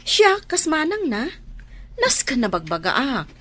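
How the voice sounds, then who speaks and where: Lively, concerned Filipino big sister. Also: female voice, high voice, takes it slowly, exaggerated voice. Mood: exasperated. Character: concerned, sympathetic older sister figure. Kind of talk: Filipino radio drama acting.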